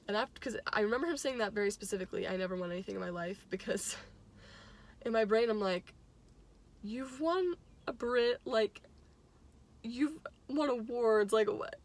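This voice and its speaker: A young adult woman casually telling a personal story in an animated, giggly way, acting out bits of dialogue as she goes. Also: mid-range voice. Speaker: teacher and music fan telling a personal anecdote.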